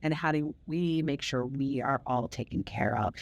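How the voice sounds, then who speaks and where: A woman's voice: rumbling low, moderate pace. A middle-aged woman hosting an interview, telling personal stories in a reflective way and repeating words for emphasis. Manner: reflective storytelling, warm emphatic repetition. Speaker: podcast host. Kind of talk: casual conversational interview.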